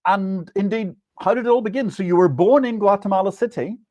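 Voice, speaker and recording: A man's voice: sits high, brisk, darkish tone, no room sound. A man hosting a live stream, delivering news and interview questions in an emphatic, grandiose, theatrical manner. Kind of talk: animated scripted news monologue and guest interview.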